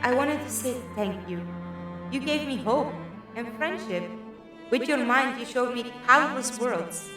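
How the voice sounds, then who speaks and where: A woman's voice: on the high side, animated, a little slow, over music. A woman playing a brave, selfless young woman in a fan audio drama, speaking in an earnest, wistful way. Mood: tender, wistful. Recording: echoey, distant voice.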